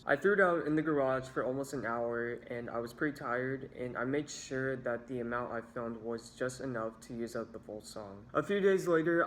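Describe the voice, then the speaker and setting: A young adult man tells a personal story in a scripted storytime style. His voice sits at an average pitch. There is faint noise behind him as he narrates. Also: poor audio. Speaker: video creator telling a story.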